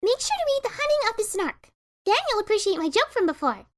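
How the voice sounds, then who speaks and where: Bubbly party pony: extremely high, swooping, gushing cartoon delivery. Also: female voice, fast. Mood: eager. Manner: excitable, gushing. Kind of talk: dramatic reading of a cartoon fan story.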